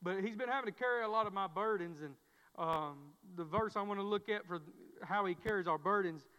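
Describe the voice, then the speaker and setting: A man's voice: sits high, sharp tone, clean tone. A man preaching a sermon, with frequent repeated words and restarts from a stammer. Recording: dull sound, long church reverb.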